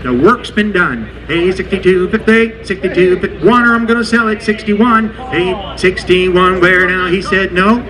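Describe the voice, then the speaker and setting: A man calling an outdoor farm auction, chanting bids in a rhythmic patter with jovial asides. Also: high-pitched. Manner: rhythmic rapid number chanting, jovial banter. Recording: distant PA voice over crowd.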